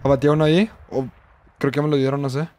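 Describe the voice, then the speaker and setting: A young man with a Mexican accent and a hoarse voice, chatting casually and slangily while gaming on a stream. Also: medium pitch, steady tone, quick pace, very noisy. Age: young adult. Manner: slangy, swearing. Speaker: streamer playing a shooter game. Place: small indoor gaming room. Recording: gunfire and clicks behind the voice.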